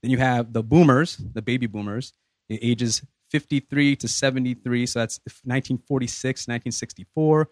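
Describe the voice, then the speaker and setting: A man in his thirties hosting a podcast, leading a casual group discussion in a relaxed, rambling way with many fillers. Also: medium pitch, moderate pace, no room sound. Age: 30s. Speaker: podcast host.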